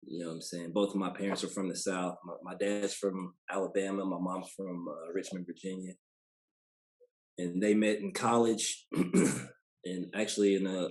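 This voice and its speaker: Low-voiced American man, roomy sound. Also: darkish tone. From Indianapolis, United States. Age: 30s. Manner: colloquial, laid-back, often tags sentences with "you know". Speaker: podcast guest, basketball player. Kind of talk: casual interview chat.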